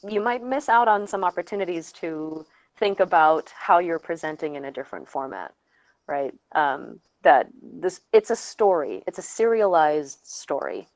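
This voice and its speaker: A woman producer talking casually on a panel, explaining in a halting way and often checking in with the listener. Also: low voice, big pitch swings, no echo.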